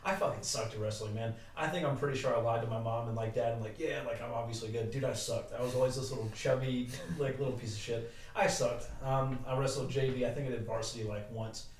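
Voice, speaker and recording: A young man co-hosting a podcast who talks with quick enthusiasm and swears a lot. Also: medium pitch, steady tone. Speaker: podcast co-host. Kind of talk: casual chat. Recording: echoey room, mic at a distance.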